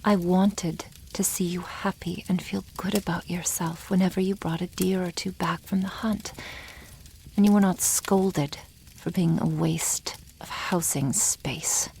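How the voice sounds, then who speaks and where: A female dragon played by a woman speaks in an intimate ASMR roleplay, protective and secretly smitten. Her voice is hushed and slightly low, with plenty of fry, and she shapes formal sentences very slowly. Faint noise sits behind her. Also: steady tone, rough recording. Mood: tender. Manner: slow, hushed, often breathy half-whisper, formal wording.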